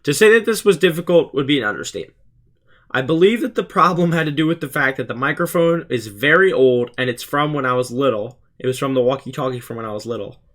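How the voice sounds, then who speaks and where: A man's voice: mid-range voice, expressive, fast talker, fairly bright tone. Teenage boy narrating a school project. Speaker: student YouTuber presenting a school project. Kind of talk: scripted explainer narration.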